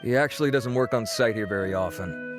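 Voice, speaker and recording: A man's voice: medium pitch, fairly quick, over music, natural voice. A man voicing a chatty, gossipy male institute worker with a judgmental tone. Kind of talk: video game voice acting.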